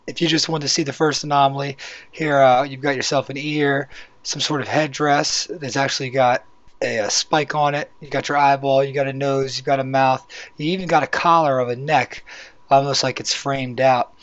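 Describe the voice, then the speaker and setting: A man with a hoarse voice hosting a casual, rambling video walkthrough, talking conversationally as he explains what is on screen. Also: mid-range voice, steady tone, moderate pace, a bit bright, dry recording. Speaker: YouTube channel host. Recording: dull, lo-fi microphone sound.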